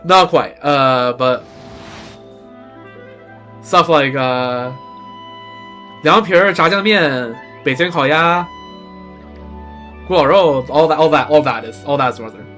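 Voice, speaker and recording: A man's voice: on the high side, slow pace, long pauses, bright, forceful tone, soft background music. A young man, a native Chinese speaker from Beijing, chatting casually as a game streamer in a rambling, self-correcting way. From China (Beijing).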